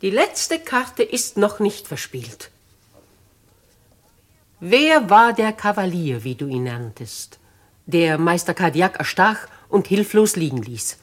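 An actress playing a dignified, compassionate and resolute old noblewoman, with grave, measured, stately delivery in a 1950s radio play. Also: on the low side, big pitch swings, exaggerated voice.

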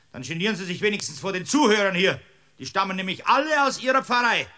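A man's voice: high-pitched, pushed and bright. A man playing an exasperated, stern and officious judge in a comic courtroom radio drama. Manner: stern, officious, easily flustered. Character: exasperated, blustering rural Bavarian judge.

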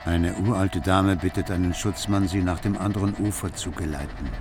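A wryly observant narrator, played by a male actor, tells a literary city tale in a radio drama. His voice sits fairly low, with music clearly playing behind it. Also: steady tone, moderate pace, close to an everyday voice. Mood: wry narration.

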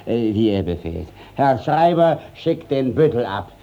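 An actor playing a shifty old village judge, hesitant and servile, in a classical German radio drama. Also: male voice, mid-range voice, sing-song, a little slow, dark tone. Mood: obsequious. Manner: hesitant, stammering, obsequious. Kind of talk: classical German stage-style radio acting. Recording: dull 1950s radio drama recording.

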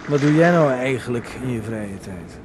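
A man's voice: mid-range voice, expressive, moderate pace, close to an everyday voice. Dry, mocking older brother played by a man, noisy recording. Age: 30s. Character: wry, teasing older brother, idle philosophical angler. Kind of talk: naturalistic radio play dialogue. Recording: noisy old radio play recording.

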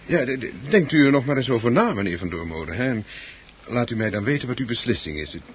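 A politely evasive club chairman in a radio play. He hems and hesitates with formal apologies, his pitch rising and sinking in a very animated way. The recording is old and muffled. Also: fast, slightly rough. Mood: apologetic. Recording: dull, slightly noisy old radio recording.

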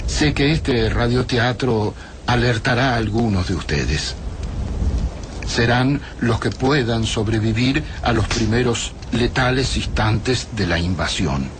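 Male narrator, very slow and solemn, radio drama opening, noise behind. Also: mid-range voice.

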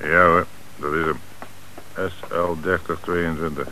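Police commissioner: deep, composed, in command, businesslike. Radio drama with slight background hiss. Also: male voice, level tone, unhurried. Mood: calm authority. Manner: businesslike questioning and orders. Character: calm, authoritative male police commissioner leading an investigation. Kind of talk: radio play acting. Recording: noisy old radio drama recording.

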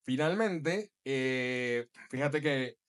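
A man co-hosting a podcast in casual chat, jokey and quick with a quip. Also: medium pitch, animated, a bit bright, clear, resonant tone. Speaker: podcast co-host.

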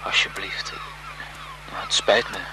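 An actor playing an eager, submissive young photographer in love, hesitant and pleading, in 1970s radio drama style. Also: male voice, a little slow, noisy background, lightly acted. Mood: hurt, pleading.

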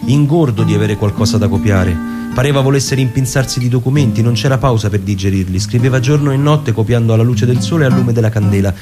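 A man narrating a literary story for radio in a deliberate, theatrical way, with short measured phrases. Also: medium pitch. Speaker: narrator and performer. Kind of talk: dramatic literary reading for radio with music. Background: music with plucked guitar.

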